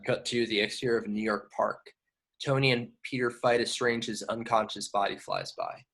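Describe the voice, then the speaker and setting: A man's voice: mid-range voice, steady tone, moderate pace, vocal fry, a bit bright. A man narrating scripted action directions in an amateur table read of a film. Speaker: narrator reading stage directions.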